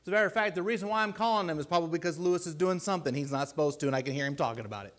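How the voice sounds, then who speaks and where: A man with a deep voice preaching a sermon in a church, earnest and pleading, with loud emphatic bursts. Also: racing. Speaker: lay preacher.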